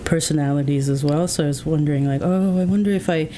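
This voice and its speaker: A woman's voice: low voice, fast talker, dark tone, a little hiss or hum. Chatty woman recalling her past. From England. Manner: chatty, reflective, many fillers like "like" and "um". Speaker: interview guest. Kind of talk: casual conversational interview.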